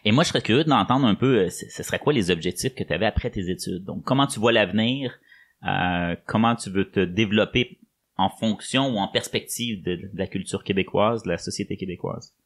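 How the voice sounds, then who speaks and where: A man's voice: lowish voice, brisk. A man hosting a podcast in a conversational interviewing style. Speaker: podcast host.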